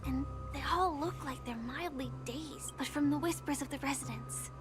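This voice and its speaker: An actress playing a gentle, earnest young woman in a game, speaking softly and confidingly. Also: high-pitched, moderate pace, natural voice. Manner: soft, hushed, confiding. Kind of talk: game voice acting. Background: game music.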